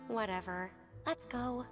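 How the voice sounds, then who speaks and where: A woman's voice: a little high, slow pace, over music. A woman playing a blasé, grumbling young agent in a video game, with a nonchalant and snide delivery. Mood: snide, weary.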